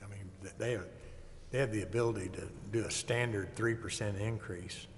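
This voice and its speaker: A man's voice: lowish voice, fairly even intonation, fairly quick. A man talking informally in a public meeting, reasoning through points as a participant in the discussion. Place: council chamber. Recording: reverberant chamber, faint background noise.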